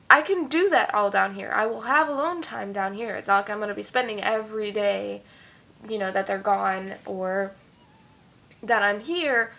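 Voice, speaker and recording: A young woman chatting at home in a rambling vlog, full of fillers, her voice a little hoarse. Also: fairly high pitch, sharp tone. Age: young adult. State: slightly hoarse, losing her voice. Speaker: YouTube singer. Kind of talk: casual vlog chat.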